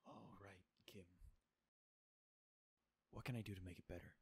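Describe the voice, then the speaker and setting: A man's voice: low-pitched, fairly even intonation, measured pace, gravelly, darkish tone, breathy edge, no echo, heavily acted. An actor playing a contrite middle-aged male boss in a TV drama, hesitant and apologetic. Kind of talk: television drama acting.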